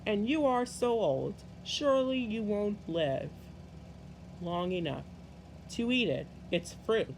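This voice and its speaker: A man's voice: high-pitched, expressive, slow pace, gravelly, slight background noise, heavily acted, rough recording. A man voicing a mighty, curious emperor in a children's storybook, with an emphatic, questioning manner. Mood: astonished.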